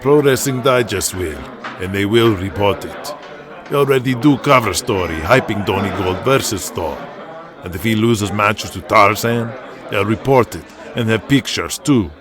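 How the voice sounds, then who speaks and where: A man voices a confident Greek booker in a wrestling audio drama. He advises bluntly in clipped, emphatic phrases, over clear crowd noise. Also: on the low side, normal speed, natural voice. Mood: frank, insistent.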